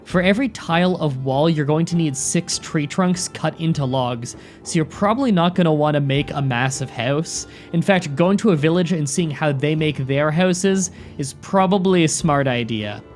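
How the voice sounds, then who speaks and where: A gaming video host narrates a scripted strategy tutorial at a middling pitch. The delivery is clear and instructional, moving point by point, and music plays behind the voice. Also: normal speed, few pauses. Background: background music.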